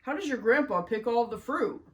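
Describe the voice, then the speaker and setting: A woman's voice: on the high side, lively intonation, normal speed, lightly acted. Lady reading as a curious kid boy, wide-eyed and full of questions, storybook style. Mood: curious, impressed. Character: curious, easily amazed boy learning about farms.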